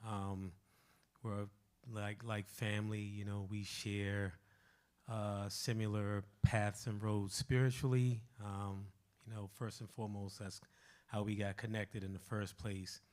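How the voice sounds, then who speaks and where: A man's voice: fairly low pitch, level tone, a little slow, a little mellow. A man, a musical director, talking casually and without a script about his work, in an informal, direct way. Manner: informal, conversational, addresses listeners directly.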